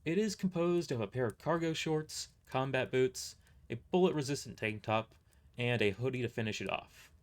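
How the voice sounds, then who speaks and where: A man narrating a first-person story aloud, reading a little haltingly and stumbling over words. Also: mid-range voice.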